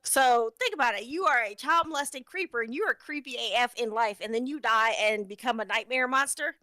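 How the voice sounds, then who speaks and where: A woman's voice: a little high, a little fast, sharp tone, dry recording. A woman in a casual chat, talking in a blunt, joking, opinionated way with some swearing. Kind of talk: casual after-show chat.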